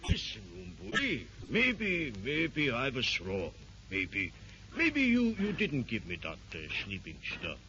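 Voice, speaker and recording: A man's voice: medium pitch, wildly swooping pitch, takes it slowly, pushed and bright, heavily acted. An actor with a German accent playing a brutal, blustering safari man who whines when he is caught out, in a 1930s radio serial. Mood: scheming. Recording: dull old radio recording with faint hiss.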